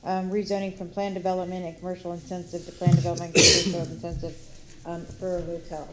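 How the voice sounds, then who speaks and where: A woman's voice: on the low side, flat delivery, quick pace, reverberant, very noisy. A woman from city planning staff presenting a businesslike zoning report with fillers.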